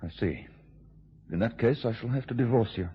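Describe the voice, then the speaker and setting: An actor in a radio drama playing a stiff, high-minded husband, restrained, weary and indignant. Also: male voice, animated, brisk, slightly rough. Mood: earnest, resigned. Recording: dull, lo-fi old radio recording.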